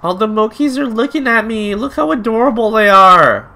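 A man's voice: sits high, expressive, moderate pace, vocal fry, bright, forceful tone. A man giving casual, emotional let's play commentary, gushing as he reacts to the game. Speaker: gaming YouTuber.

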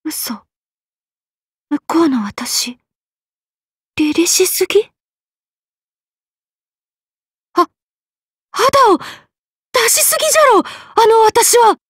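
Regal goddess, anime game, high voice, lofty, archaic phrasing, dry recording. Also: female voice, sing-song, slow pace, pauses often, dry recording, heavily acted. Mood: flustered. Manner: lofty, old-fashioned speech. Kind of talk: anime mobile game voice acting.

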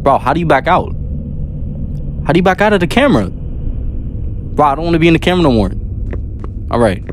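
A man's voice: on the high side, fairly quick, a little hiss or hum, low-quality recording. A man giving casual, slangy gameplay commentary as a gaming video host. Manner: animated, slangy reactions.

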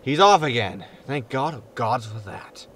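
A grumpy, impulsive spaceship captain played by a man in a sci-fi audio comedy. He is blunt and exasperated, with animated intonation, against loud background noise. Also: mid-range voice, a little slow, lightly acted. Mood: brash. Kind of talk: sitcom audio drama acting.

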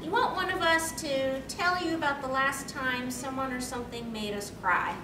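A woman's voice: high voice, fairly even intonation, normal speed. A young woman hosting a panel, explaining things and answering questions in an articulate, slightly formal way. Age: young adult. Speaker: panel host. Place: convention meeting room, on a stage. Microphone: distant camera microphone. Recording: distant, echoey, noisy camera recording.

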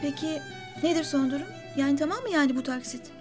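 Actress, sharp-tongued grown daughter, high pitch, rapid delivery, music behind. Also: female voice, close to an everyday voice. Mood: concerned. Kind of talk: TV drama acting. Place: indoor room in a house, on set. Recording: music under the dialogue.

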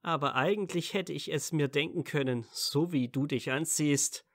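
A man playing a defensive, cheeky young wizard with a quick temper in a fan audio drama. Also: a little high, creaky, a bit bright, dry recording, studio quality. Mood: cheeky, teasing. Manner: snappy, teasing.

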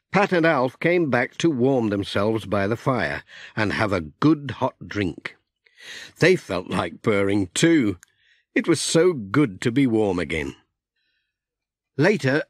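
A man narrating a children's story audiobook in an expressive storytelling manner. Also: mid-range voice, dry recording, studio quality.

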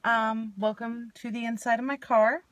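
A woman's voice: on the high side, level tone, normal speed, no room sound. A young woman vlogging from inside her car at night, chatting casually with many fillers, recorded on a phone held at arm's length. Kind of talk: casual chat, singing with ukulele. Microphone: phone camera at arm's length. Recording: loud ukulele close by. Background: ukulele strumming.

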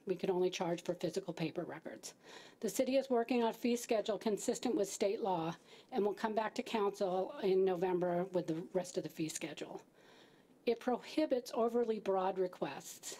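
A woman's voice: medium pitch, mellow. A middle-aged woman at a podium giving a staff briefing to a city council, talking conversationally with fillers and restarts. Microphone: podium microphone.

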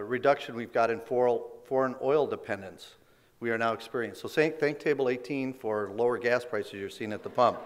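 A hoarse middle-aged man giving an award acceptance speech at a podium microphone, working through prepared remarks with small restarts and fillers. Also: mid-range voice. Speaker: company leader accepting a business award. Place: large indoor banquet hall stage. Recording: long reverb of a big banquet hall.

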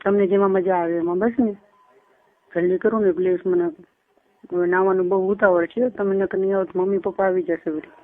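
A woman's voice: medium pitch, steady tone, fairly quick. A woman with a Kathiawari Gujarati accent, chatting casually and privately on the phone. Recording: tinny phone call recording.

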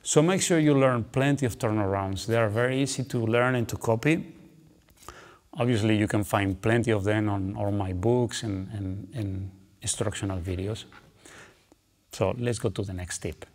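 A man's voice: lowish voice, moderate pace. Man teaching guitar, chatty. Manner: relaxed, chatty, frequent "okay" and "you know" tags. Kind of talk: instructional explanation between playing. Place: dark studio, seated with guitar on lap. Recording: long reverb, guitar playing between phrases.

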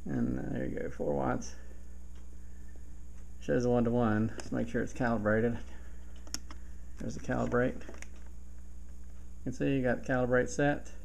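A man narrates an informal hands-on demonstration in a creaky, gravelly voice at a middling pitch. He is recorded indoors at a home radio bench, with some hiss and radio noise behind him. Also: steady tone, measured pace, pauses often, darkish tone. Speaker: hobbyist demonstrating CB radio gear. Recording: noticeable background hiss and radio static.

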